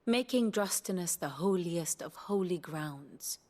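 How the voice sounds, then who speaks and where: An actress playing a shrewd woman scholar and counsellor in a fantasy game, formal, measured and wry in delivery, with loud music behind her. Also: lowish voice, lively intonation. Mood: measured, lecturing. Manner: formal, measured, wryly lecturing. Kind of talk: scripted fantasy game exposition.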